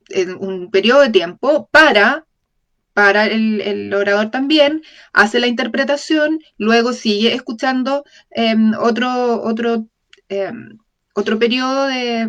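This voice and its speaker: Measured, self-correcting woman lecturer. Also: fairly high pitch, a bit bright. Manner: measured, with frequent self-corrections and tag questions to the audience. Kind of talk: voiced-over lecture in an open talk series.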